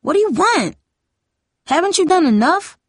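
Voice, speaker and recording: A woman's voice: mid-range voice, sing-song, fairly quick, slightly rough, no echo, clean studio sound. A woman voicing a defiant, defensive teenage girl with a heated, slangy way of talking, in a story reading. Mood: furious. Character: defiant, defensive younger sister, a school cheerleader.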